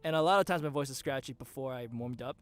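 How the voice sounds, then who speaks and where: A man's voice: mid-range voice, fast, clear, resonant tone. A man co-hosting a livestream singing lesson. He talks casually, passes on viewer questions and shares his own tips. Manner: casual, reads out viewer questions, eager reactions. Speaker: co-host.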